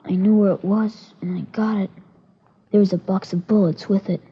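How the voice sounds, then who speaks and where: A performer playing a frightened, guilt-stricken nine-year-old American boy in a 1950s radio drama, hushed, halting and plaintive. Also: mid-range voice, steady tone. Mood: guilty, pleading. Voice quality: breathy, husky. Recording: dull old radio recording.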